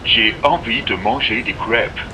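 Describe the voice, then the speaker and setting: A man voicing a deadpan machine translator that speaks slowly in a low voice. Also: slight background noise, low-quality recording.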